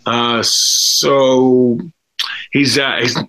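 A man in his thirties co-hosting a casual video-call stream, rambling and halting with many fillers. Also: mid-range voice, level tone, bright, forceful tone, low-quality recording. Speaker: livestream co-host. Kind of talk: casual chat about reality TV contestants.

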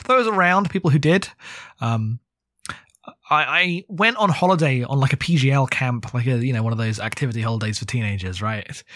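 A man's voice: medium pitch, a bit bright, no room sound, clean studio sound. A man in his thirties co-hosting a podcast, chatting casually about books in a rambling, wry way. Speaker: podcast co-host. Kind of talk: casual book discussion.

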